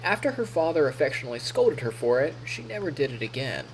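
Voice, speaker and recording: Lively male streamer narrating a story. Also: medium pitch, quick pace, a little hiss or hum, low-quality recording. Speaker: live streamer reading a visual novel. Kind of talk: narration read aloud with casual asides.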